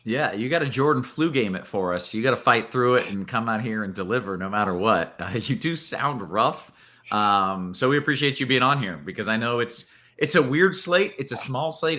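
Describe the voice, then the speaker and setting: A man's voice: mid-range voice, lively intonation, normal speed, pushed and bright. A man hosting a sports betting show with upbeat, hype-style delivery. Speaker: show host.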